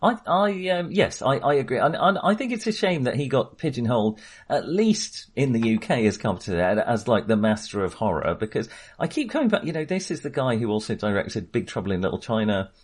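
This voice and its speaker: A man's voice: medium pitch, very animated, brisk, breathy edge. A middle-aged man casually discussing film as a podcast co-host, hesitant and thoughtful, circling back on his phrases.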